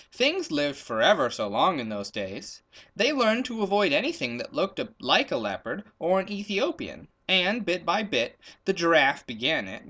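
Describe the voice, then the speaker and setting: A male storyteller reads a children's tale with great expression. His voice is bright and a little high, swooping playfully through rhythmic lists of words.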